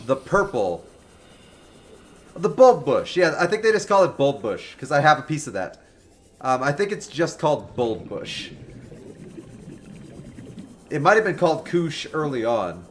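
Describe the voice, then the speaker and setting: A man in his twenties talks casually with his live chat as he plays a game. His voice sits a little high and swings up and down a lot. He answers viewers by name with a joking, animated manner. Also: a little slow, pauses often. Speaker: game streamer. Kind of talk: casual livestream commentary, chatting with viewers.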